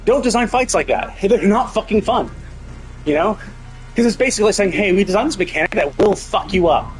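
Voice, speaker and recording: A man's voice: high voice, animated, fairly quick, faint music behind, poor audio. A man giving casual, blunt commentary as a live game streamer, talking in a sweary, venting way.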